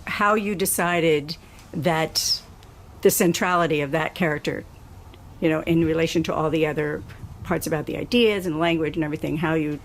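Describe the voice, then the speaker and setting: Woman with lowish voice posing a question. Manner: rephrases as she goes. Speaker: participant asking the author a question. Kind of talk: conversational question. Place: live event in a bookstore. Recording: faint room noise.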